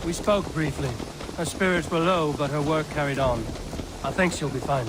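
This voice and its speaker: A man's voice: fairly high pitch, normal speed, close to an everyday voice. A man playing a bold, wry Viking warrior in a video game, assured and terse. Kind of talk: video game cutscene dialogue. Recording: clear outdoor ambient noise behind.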